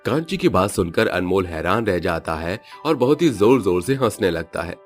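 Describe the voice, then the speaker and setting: Brief: male narrator, lowish voice, steady storytelling, faint music under. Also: normal speed.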